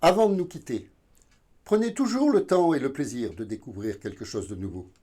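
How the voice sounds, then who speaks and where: A man from southern France hosting an educational video, explaining informally in a halting, didactic way. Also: medium pitch, animated, warm tone. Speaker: video channel host.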